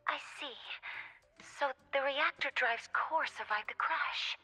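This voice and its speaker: A woman playing a studious, formal researcher in a video game, precise and deliberate. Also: high-pitched, pushed and bright, soft background music, exaggerated voice. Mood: thoughtful.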